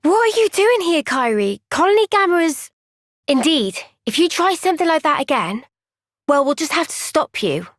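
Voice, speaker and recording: A woman playing an earnest, gentle young female soldier in a video game cutscene, speaking with measured, sincere resolve. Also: high voice, sing-song, no echo, studio quality. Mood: urgent, determined.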